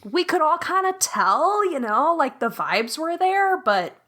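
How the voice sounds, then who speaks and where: A woman playing as a guest in a tabletop mystery game, chatting casually. Her voice is bright and crisp, and her pitch moves a lot as she talks. She laughs often. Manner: lively and quick to laugh. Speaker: guest player. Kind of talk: casual game play chat.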